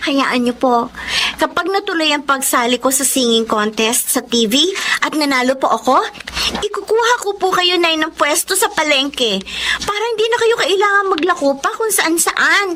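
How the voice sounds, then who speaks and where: A woman's voice: high voice, animated, moderate pace, bright, forceful tone, a little hiss or hum. A woman acting as a hopeful, hardworking young provincial daughter in a radio drama, earnest and eager. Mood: eager, caring. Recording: prominent music bed. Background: music.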